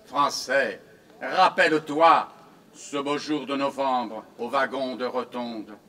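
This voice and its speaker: Slow, forceful male poet declaiming. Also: mid-range voice, slight background noise. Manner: theatrical, declamatory, measured phrasing. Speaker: poet reciting own poem. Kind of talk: solemn declaimed poetry recitation at a commemoration.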